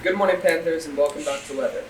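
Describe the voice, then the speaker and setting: A teenage boy giving the school weather report outdoors in the rain in a steady, scripted way. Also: on the high side, fast, roomy sound, recorded from a distance, slight background noise, poor audio. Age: teens.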